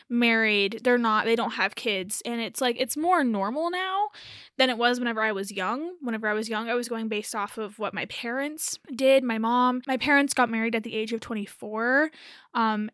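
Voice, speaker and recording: Hoarse, raspy young woman rambling casually. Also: fairly high pitch, normal speed, fairly bright tone, no room sound, studio quality. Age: early 20s. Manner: rambling, self-correcting, laughs nervously. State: hoarse from a lingering cold. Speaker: podcast host. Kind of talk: casual solo chat.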